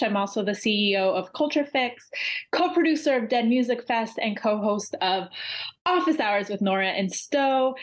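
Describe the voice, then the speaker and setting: Animated, frank woman guest. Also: mid-range voice. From Iran, raised in the USA. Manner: animated, frank, uses many fillers like um and like. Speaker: podcast guest, business coach. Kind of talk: casual interview.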